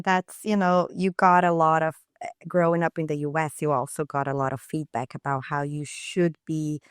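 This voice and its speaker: A woman's voice: on the low side, smooth, no echo, studio quality. A woman hosting a podcast interview, asking long questions and rephrasing as she goes. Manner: searching, rephrasing questions with fillers.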